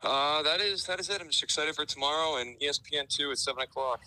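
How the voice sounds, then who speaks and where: A man's voice: on the high side, fast talker, sharp tone, no echo, poor audio. A young man calling in to a sports radio show, speaking eagerly and emphatically. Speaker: caller, college sports fan.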